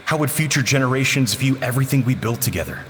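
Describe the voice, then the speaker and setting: A man's voice: medium pitch, expressive, fast, fairly bright tone, big-room sound, slight background noise, lightly acted. An actor playing a regretful man confessing his past, sombre and sighing.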